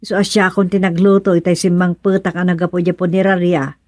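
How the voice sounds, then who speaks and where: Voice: mid-range voice, little pitch movement, fairly quick, a little mellow, no echo, studio quality, close to an everyday voice. A performer playing a matter-of-fact, complaining mother in an Ilocano radio comedy, with an Ilocano accent. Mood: grumbling. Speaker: actor in radio comedy drama. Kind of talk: Filipino radio drama comedy acting.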